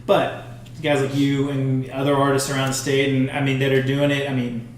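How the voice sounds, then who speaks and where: A man's voice: mid-range voice, completely flat delivery, normal speed, slight background noise. Casual man chatting in a very echoey room. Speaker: music podcast host. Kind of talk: casual chat. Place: studio with roomy echo. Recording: very echoey room, voices in background.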